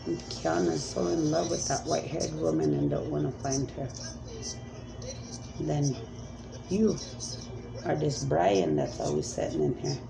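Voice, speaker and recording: A woman's voice: low-pitched, big pitch swings, a little slow, vocal fry, pauses often, music in the background, rough recording. A woman speaking as a self-described spirit medium in a rambling, emphatic monologue, pleading and repeating commands.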